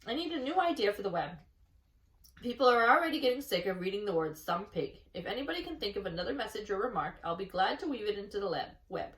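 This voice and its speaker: Lady reading as clever bossy girl spider in a kids book, very up and down pitch, bit distant. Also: sing-song, fast talker, fairly bright tone. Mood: brisk, in charge. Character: brisk, bossy, clever female spider, kind to friends. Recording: echoey room, distant mic.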